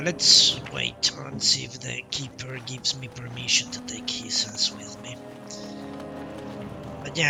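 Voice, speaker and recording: A man's voice: a little high, vocal fry. A man doing casual live gameplay commentary as a streamer, musing and thinking aloud in short phrases. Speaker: game streamer. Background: game music.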